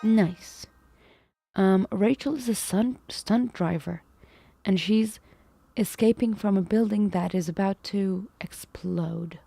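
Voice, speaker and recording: A woman's voice: medium pitch, slow, vocal fry, mellow, husky. A woman live-streaming, thinking aloud over math problems, second-guessing herself and chatting with viewers. She feels her voice is going. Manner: talks to chat, muses and second-guesses herself.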